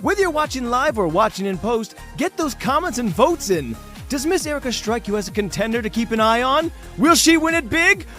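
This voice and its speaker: A man's voice: very high-pitched, very animated, sharp tone, soft background music, exaggerated voice. A man playing a flamboyant, cynical game show host in an audio drama, slick, mocking and theatrically condescending. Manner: theatrical, condescending, drawn-out showy delivery. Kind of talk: bombastic TV game show presenting.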